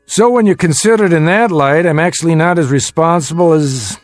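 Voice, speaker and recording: An actor in a radio drama playing a weary middle-aged father, gentle but guarded and measured in his delivery. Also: a little high, dry recording, faint music behind, lightly acted. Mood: defensive. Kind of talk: naturalistic radio drama acting.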